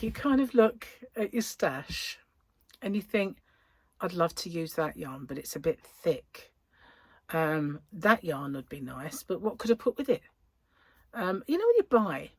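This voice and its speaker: A woman's voice: on the low side, no echo. A woman hosting a podcast, chatting casually and rambling as she thinks aloud. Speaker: podcast host. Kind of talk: casual chat.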